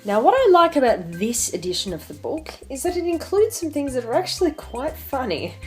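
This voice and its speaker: A woman's voice: high voice, very animated, a little fast, soft background music. A woman in her twenties hosting an online video, talking casually and reading a picture book aloud with theatrical emphasis. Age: 20s.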